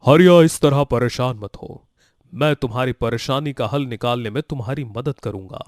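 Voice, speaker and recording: A man's voice: mid-range voice, normal speed, dry recording, clean studio sound, natural voice. A man voicing a magical talking sugarcane in a children's story, confident, boastful and reassuring. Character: magical talking sugarcane, helpful, boastful, kindly male creature. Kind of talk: dramatized Hindi moral story for children.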